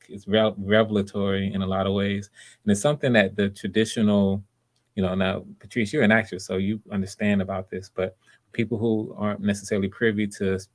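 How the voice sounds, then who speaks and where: A man chatting casually and encouragingly as he hosts online auditions. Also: on the low side. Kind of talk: casual livestream chat.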